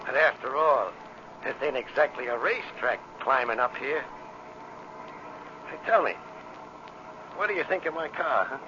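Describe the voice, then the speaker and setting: A man's voice: medium pitch, expressive, normal speed, pauses often, natural voice. An actor playing a cocky, flirtatious tough guy with slangy, smug swagger in a 1940s radio drama. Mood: alarmed, then boastful. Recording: muffled, noisy old radio recording.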